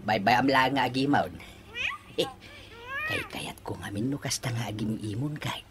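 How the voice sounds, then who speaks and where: An actor playing a boastful old pensioner with a young wife in a comedy radio drama. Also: male voice, medium pitch, huge pitch swings, measured pace, background noise. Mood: smug, teasing. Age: older. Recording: radio drama with music underneath.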